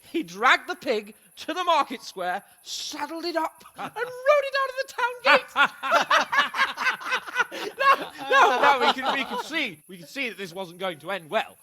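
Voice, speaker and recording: High-pitched, arrogant young nobleman. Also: huge pitch swings, a little slow, bright, forceful tone, heavily acted. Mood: boisterous, amused. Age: young adult. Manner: haughty, mocking, theatrical. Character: arrogant, spoiled young nobleman, boisterous and petulant. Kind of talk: dramatic video game acting.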